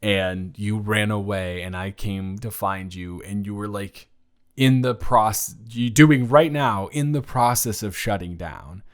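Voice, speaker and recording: A man acts out a flustered young college guy in an intimate audio roleplay. His voice sits a little low and he takes his time, speaking very slowly with stammers and halting starts. He comes across as shy and tender toward an old childhood friend. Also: smooth, close to an everyday voice. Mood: gentle, reminiscing. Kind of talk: improvised intimate audio roleplay addressed to listener.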